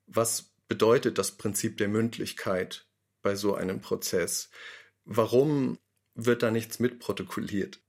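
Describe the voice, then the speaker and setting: A man speaking thoughtfully in an interview, pausing to stress key words. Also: fairly low pitch, fairly even intonation, gravelly. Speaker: radio drama maker. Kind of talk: reflective interview talk.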